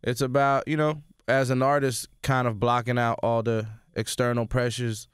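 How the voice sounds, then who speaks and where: A young man with a New York accent, originally from Sudan, talking casually as an interview guest in a radio studio. He speaks in a relaxed way and repeats words as he thinks. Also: mid-range voice, normal speed, clear, resonant tone, no echo. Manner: laid-back, repeats words while thinking. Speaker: rapper guest. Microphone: studio mic.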